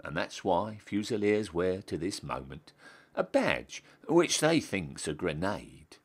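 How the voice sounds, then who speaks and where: A man's voice: sits low, very animated, slow, slightly rough. A man with a Northern English accent giving a theatrical, storytelling recitation of a comic dialect monologue. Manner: dramatic, storytelling delivery. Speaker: reciter, town crier.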